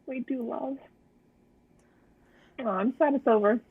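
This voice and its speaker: High, tinny-sounding woman co-host. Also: measured pace, breathy edge. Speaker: livestream co-host. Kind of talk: casual chat, reacting to a story. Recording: tinny, band-limited call audio.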